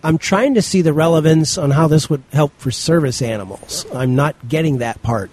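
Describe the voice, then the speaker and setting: Relaxed middle-aged man hosting a podcast. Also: fairly high pitch, normal speed, slight background noise. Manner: relaxed, rambling, reads out article titles. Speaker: podcast host. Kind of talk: casual panel chat.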